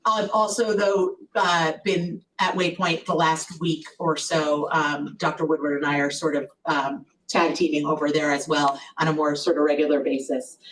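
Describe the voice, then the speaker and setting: A woman with a loud voice reporting at a board meeting, chatty, with many fillers and restarts. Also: low-pitched, level tone, moderate pace, few pauses. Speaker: collaborative executive director. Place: meeting room. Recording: distant mic with faint noise.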